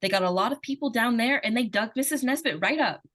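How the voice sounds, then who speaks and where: A woman playing an earnest, excitable young daughter in an old-time radio suspense drama. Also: on the high side, fast talker. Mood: cheerful, excited.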